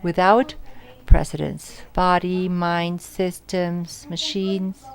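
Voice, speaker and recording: Low-voiced woman interpreting live in halting phrases. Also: steady tone, creaky. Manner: halting, phrase-by-phrase delivery with self-corrections. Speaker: simultaneous interpreter. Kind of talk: live interpretation of audience questions at an academic symposium.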